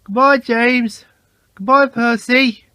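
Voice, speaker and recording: A man's voice: very high voice, steady tone, takes it slowly, sharp tone, clean tone, dry recording, pitched above the performer's own voice, heavily acted. A man voicing a cheerful, easily amazed young male tank engine in a children's storybook. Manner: cheerful, exclaiming.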